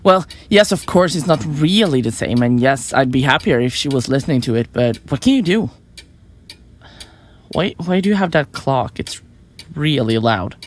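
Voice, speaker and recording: A woman playing a flippant, self-important young murderer in an audio drama, glib and quick to get defensive. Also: very deep voice, expressive. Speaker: murderous podcaster in a therapy session. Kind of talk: audio drama acting, conversational.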